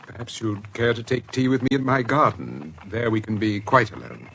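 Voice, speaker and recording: An actor with a British accent playing a reserved, guarded gentleman in a radio drama, answering politely and a little hesitantly. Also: lowish voice, moderate pace, creaky, slight background noise. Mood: wary, polite. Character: reserved, guarded English gentleman concealing unease. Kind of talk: period radio drama acting.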